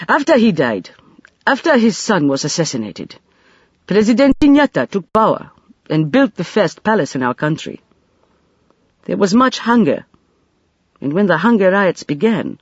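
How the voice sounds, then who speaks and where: A man's voice: sits high, sing-song, unhurried, long pauses, husky, no echo, lo-fi sound, full character voice. Man voicing a rueful, scarred African ex-prisoner. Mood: weary, guarded. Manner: simple, grave, resigned, meditative phrasing. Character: scarred, world-weary African male ex-prisoner, rueful, guarded bicycle mender.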